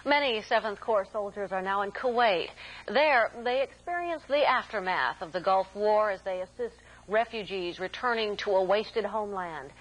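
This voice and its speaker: A woman with an American accent anchors a news broadcast. Her voice sits at a medium pitch. She reads scripted copy in a formal, measured announcer style. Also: animated, highs cut off. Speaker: military network news anchor.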